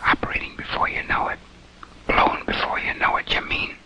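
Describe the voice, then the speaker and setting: An actor playing a novice undercover agent's whispered thoughts in a 1940s training film. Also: male voice, fairly quick, bright, forceful tone, rough recording. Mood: self-reproachful. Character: nervous, cocky male novice undercover agent, thinking. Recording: old 1940s film soundtrack.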